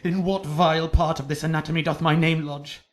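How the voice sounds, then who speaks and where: A man's voice: fairly high pitch, little pitch movement, fairly quick, soft background music, lightly acted. An actor playing Romeo as a desperate, lovesick young man in a Shakespearean radio drama, theatrical and impassioned. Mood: frantic.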